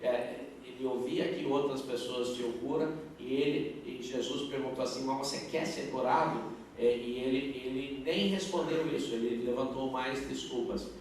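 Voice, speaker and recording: A man's voice: mid-range voice, fairly even intonation, darkish tone. Man speaking far off in an echoey church. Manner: earnest, halting, with many fillers and restarts. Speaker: pastor. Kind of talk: live Q&A at a youth church service. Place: large church hall. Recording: echoey hall, distant mic, faint noise.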